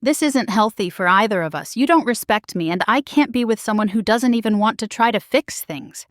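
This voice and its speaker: A woman voicing a calm, firm husband who is fed up, speaking in a steady, measured way. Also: on the high side, dry recording, clean studio sound, natural voice.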